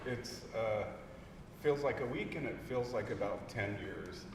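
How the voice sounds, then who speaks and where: A man speaking as a bereaved husband at a memorial lecture, hesitant and emotional, with many pauses and fillers. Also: lowish voice, lively intonation. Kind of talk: halting heartfelt remarks. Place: lecture hall. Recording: echoey hall from far off with noise.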